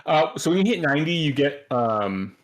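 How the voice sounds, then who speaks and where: A man running a tabletop game session as game master, halting and full of fillers, sometimes muttering to himself. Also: fairly high pitch, big pitch swings, a little hiss or hum.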